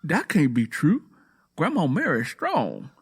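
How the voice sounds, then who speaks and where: A man's voice: on the high side, huge pitch swings, slow pace, breathy edge, voice pushed up, full character voice. A man playing a proud, defensive, hot-tempered Southern country man in an audio drama, emotional and defiant. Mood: disbelieving.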